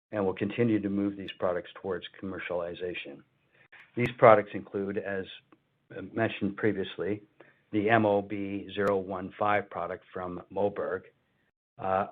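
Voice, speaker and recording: A man speaking as a company executive, reading a formal prepared earnings call update and sometimes stumbling over and repeating words. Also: sits low. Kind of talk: scripted earnings call presentation. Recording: band-limited conference phone line.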